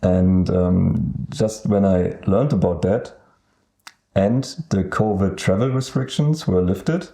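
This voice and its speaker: A young man from Germany with a German accent, co-hosting a casual podcast and talking hesitantly with many pauses. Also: low-pitched, vocal fry, mellow. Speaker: podcast co-host. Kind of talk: casual chat.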